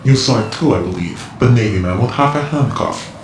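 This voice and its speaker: An actor playing a mysterious, cryptic male informant in a video game cutscene, speaking in a measured, lecturing way. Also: fairly low pitch, animated, noisy background. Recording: roomy game mix with loud music.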